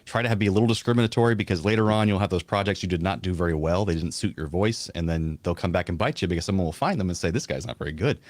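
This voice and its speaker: A man's voice: low voice, rapid-fire, hardly any pauses, no room sound. A man who is a voice actor, chatting casually and laughing often as an interview guest. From American South.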